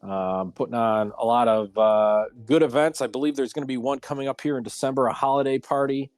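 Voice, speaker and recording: A man's voice: mid-range voice, brisk, a little mellow, dry recording, soft background music. A man hosting a casual livestreamed round table talk show.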